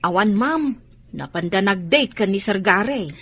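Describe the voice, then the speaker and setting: An actress playing a chatty household maid with a gossipy, playful manner and an Ilocano accent. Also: female voice, medium pitch, lively intonation, normal speed, fairly bright tone, slightly rough.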